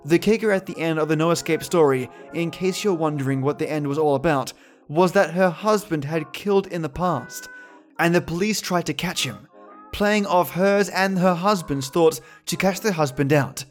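Male show host, fairly high voice, casual chat to listeners, faint music behind. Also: moderate pace.

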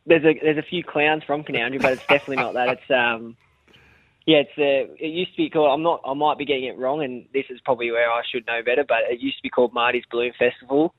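A man from country New South Wales with an Australian accent and a rather high voice, talking easily as a guest on a phone line, with lots of fillers. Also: no echo. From Central West of New South Wales, Australia. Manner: easygoing, many fillers and restarts. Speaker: football player guest. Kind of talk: phone interview on sports radio. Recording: dry tinny phone line.

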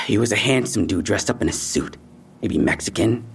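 An actor playing a defensive young robbery suspect in an audio drama, with husky, slangy, evasive talk and tough posturing over fear. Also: male voice, lowish voice, lively intonation, moderate pace, a bit bright, slight background noise. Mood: bitter, resentful. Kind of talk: naturalistic audio drama acting.

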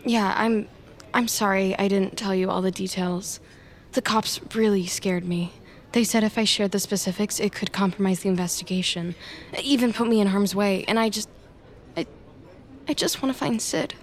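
A woman's voice: medium pitch, steady tone, moderate pace, close to an everyday voice. An actress playing an anxious, evasive female office worker who hesitates and stammers defensively in an audio drama. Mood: pleading, subdued. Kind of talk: naturalistic audio drama acting.

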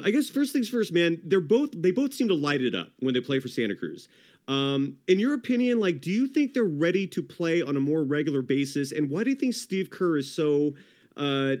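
A man's voice: on the high side, sing-song, brisk, darkish tone. A man hosting a sports podcast in casual, upbeat chat, hyping his guest and calling him brother. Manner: hyped, chummy, calls guest brother. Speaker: podcast host.

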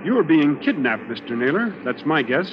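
Radio-drama commander: younger, brisk authority, higher voice, dull vintage broadcast sound. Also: male voice, very animated, noisy background. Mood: firm. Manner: clipped, authoritative. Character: take-charge younger navy commander, urgent and decisive. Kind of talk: 1940s radio acting. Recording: muffled old radio broadcast with loud backing sound.

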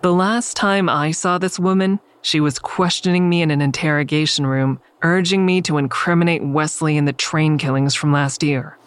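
A woman's voice: deep voice, fairly even intonation, moderate pace, few pauses, clear, resonant tone, close to an everyday voice. A woman playing a wary, wry character who narrates her own story in an audio drama, in a confiding way.

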